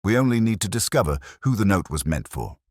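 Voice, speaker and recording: A man voicing a shrewd, unruffled police inspector in a mystery story, with a smooth, dry, measured manner. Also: fairly low pitch, very animated, a little mellow, no room sound, pitched above the performer's own voice. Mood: dryly speculative.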